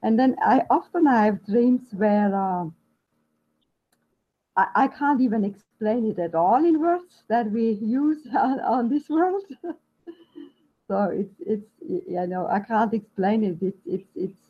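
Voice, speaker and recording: A woman's voice: on the high side, expressive, measured pace, slightly rough. A woman from Austria with an Austrian German accent, introducing herself hesitantly in a class, with many restarts. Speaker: class participant. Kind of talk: casual spoken introduction. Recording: muffled lo-fi call line with faint noise.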